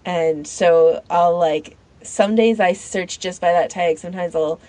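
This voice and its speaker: A woman's voice: fairly low pitch, measured pace, darkish tone, rough recording. A woman co-hosting a podcast, talking casually and conversationally with frequent filler "like". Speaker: podcast co-host.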